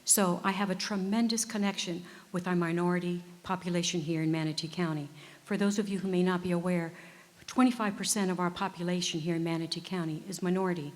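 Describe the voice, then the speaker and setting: A woman in her fifties, a judicial candidate, speaking earnestly and in a measured way at a public forum. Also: fairly low pitch, steady tone. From United States. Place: performing arts center stage. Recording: reverberant auditorium.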